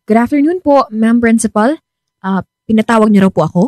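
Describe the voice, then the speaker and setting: A woman's voice: on the high side, a little fast, lightly acted. A woman playing a distressed young female teacher in a melodramatic radio drama, emotional and pleading. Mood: polite, nervous. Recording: loud dramatic music bed behind.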